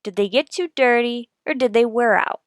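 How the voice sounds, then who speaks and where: An actress playing a cool, persistent police detective in a radio crime drama, questioning in a clipped, deadpan, needling way. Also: female voice, on the high side, moderate pace, no echo, clean studio sound, lightly acted. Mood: pressing, cool. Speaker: voice actor in an audio police drama.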